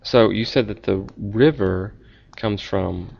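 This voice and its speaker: A man's voice: fairly low pitch, slow pace, gravelly, darkish tone, lo-fi sound. A man interviewing a guest, asking curious short questions and reacting with interest. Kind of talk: casual interview.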